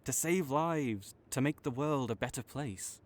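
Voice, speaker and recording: A man playing an arrogant, teasing supervillain rival with a soft spot, speaking theatrically to the listener in an audio roleplay. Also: mid-range voice, a little slow, lightly acted. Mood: awkward, sincere. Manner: smug, teasing, theatrical with sighs. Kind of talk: dramatic roleplay monologue spoken to the listener.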